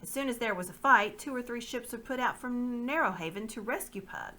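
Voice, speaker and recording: A woman's voice: a little high, lively intonation, fairly quick, bright, forceful tone, slight background noise, voice pushed up. A woman voicing a loyal middle-aged lord. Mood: earnest. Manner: earnest, courtly speech. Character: loyal middle-aged nobleman, earnest and shrewd.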